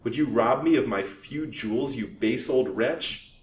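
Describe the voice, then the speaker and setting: Guy doing a snippy scheming widow lady, storybook melodrama, high and swoopy, roomy sound. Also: measured pace, natural voice. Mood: furious, desperate. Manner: indignant, melodramatic, formal. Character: scheming, hot-tempered, affected widow in genteel melodrama. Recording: roomy, distant microphone, faint rumbling noise.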